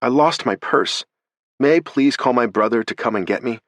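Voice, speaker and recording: A man's voice: medium pitch, moderate pace, fairly bright tone, no room sound, studio quality, pitched above the performer's own voice, lightly acted. A man narrating a story voices a frightened, injured young woman who pleads urgently and breathlessly.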